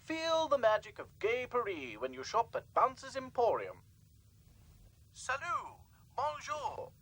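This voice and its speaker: A man's voice: extremely high pitch, wildly swooping pitch, slow, fairly bright tone, pitched above the performer's own voice, full character voice. A man voicing a store's radio announcer in a storybook, selling in a sing-song way. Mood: salesy. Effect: headphone radio.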